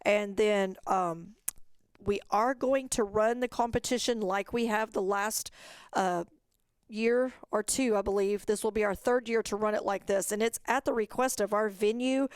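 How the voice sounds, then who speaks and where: A woman's voice: medium pitch, steady tone, measured pace, clean tone, no echo. A woman leads an informal instructional webinar. Her voice is clean and resonant in a dry setting, and she explains each step in plain terms. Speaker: competition organizer presenting a webinar.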